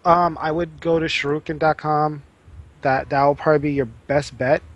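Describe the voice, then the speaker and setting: A man guest on a casual podcast, giving advice in a steady, explanatory way with frequent ums. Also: a little high, moderate pace, muffled recording.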